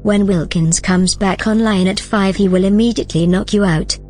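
A woman's voice: medium pitch, steady tone, normal speed, mellow, close to an everyday voice. A composed, principled female AI from a sci-fi audio drama, acted by a woman. She speaks formally and evenly in a mid-range voice, with faint music behind. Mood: cool, matter-of-fact. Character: calm, principled female artificial intelligence.

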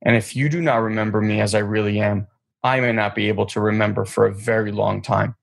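A man voicing a kind, loving soul in a storybook reading, with a gravelly, husky voice. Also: on the low side, steady tone, fast. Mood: solemn.

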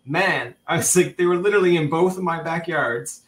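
Chatty bright-voiced male podcast host. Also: fairly high pitch, brisk, slight background noise. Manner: chatty and rambling, with many restarts and laughs. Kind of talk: casual interview chat.

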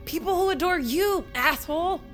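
An actress playing an earnest, gushing teenage fangirl with a quick temper in an audio drama. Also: extremely high pitch, music in the background. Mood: angry. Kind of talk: audio drama comedy acting.

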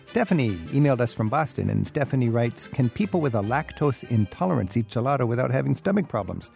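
A man's voice: mid-range voice, very animated, fast talker, vocal fry, darkish tone, faint music behind. A man hosting a radio travel talk show, curious and conversational as he questions his guests. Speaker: radio host.

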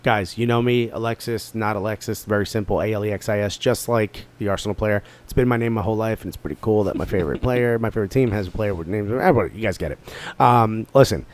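A man's voice: lowish voice, darkish tone. A man with a North Jersey accent co-hosting a podcast, joking and chatting casually. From New Jersey area. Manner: fast-talking, joking, plugs the show. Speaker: podcast co-host. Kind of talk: casual chat.

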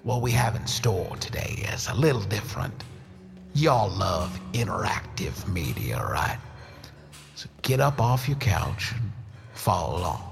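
An actor playing a sinister, chummy ghoulish workout host in theatrical horror-host narration, folksy, teasing and menacing. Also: male voice, fairly low pitch, big pitch swings, measured pace, vocal fry, faint music behind, exaggerated voice. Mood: sly, chummy.

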